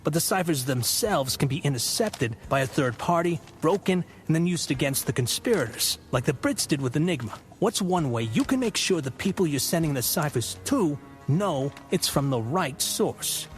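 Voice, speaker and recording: Man as higher-voiced sardonic detective. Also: expressive, normal speed, faint music behind, natural voice. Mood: reasoning. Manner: explanatory, dry. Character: patient, sardonic male police detective. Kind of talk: audio drama acting.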